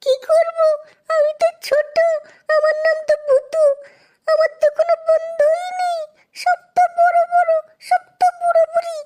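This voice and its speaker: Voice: very high voice, completely flat delivery, moderate pace, darkish tone. A performer voicing a small, lonely child ghost with a whiny, pleading manner in a Bengali comedy cartoon. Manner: whiny, pleading, repeats words.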